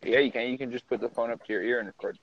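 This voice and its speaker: A young man on a phone call, teasing his friend with playful sarcasm in an animated, casual way. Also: medium pitch, very fast, no echo. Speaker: podcast co-host. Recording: dull, lo-fi phone audio.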